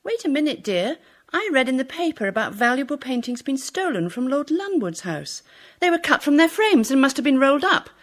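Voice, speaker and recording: A woman playing an earnest, clearly spoken female character in a children's audio drama. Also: sits high, sing-song, fairly quick. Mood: concerned. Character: adult woman in a children's mystery play.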